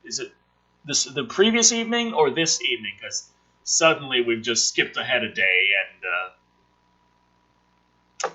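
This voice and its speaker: A man's voice: medium pitch, lively intonation, a little slow, long pauses, pushed and bright, slightly rough, rough recording. A man sarcastically reading through a film script and commenting on it in a profane, mocking, theatrical way. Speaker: host of a script review show.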